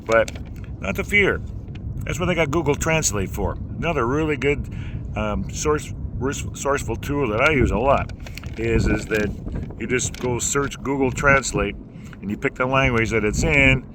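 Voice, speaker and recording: A man with a low voice teaching singing in a folksy, rambling way, with many fillers and restarts. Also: moderate pace. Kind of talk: casual instructional talk. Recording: noisy background.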